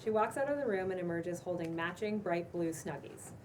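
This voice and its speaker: A woman's voice: lowish voice, lively intonation, brisk. A woman reading scripted stage directions as the narrator of a table read. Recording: distant mic, noticeable room noise.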